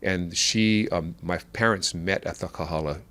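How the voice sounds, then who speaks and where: Middle-aged hawaiian guy, real low voice, stops and restarts a lot. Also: a bit bright. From Oahu, Hawaii. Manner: halting, with many restarts and fillers. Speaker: podcast guest. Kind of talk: casual conversational interview.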